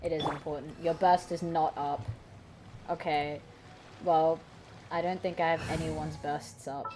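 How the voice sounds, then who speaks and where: A young woman giving excitable, mock-dramatic, gushing gameplay commentary. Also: low voice, measured pace. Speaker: game streamer. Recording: noisy mic with game sound. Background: game audio.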